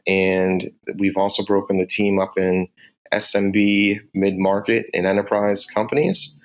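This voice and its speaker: Low-voiced Boston man explaining sales steps. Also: flat delivery, fairly bright tone, poor audio. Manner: direct, measured, explains step by step. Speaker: sales team manager. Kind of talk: podcast interview answers.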